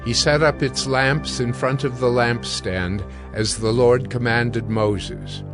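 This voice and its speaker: A man narrating dramatized scripture in a measured, solemn manner. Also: mid-range voice, fairly even intonation. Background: music.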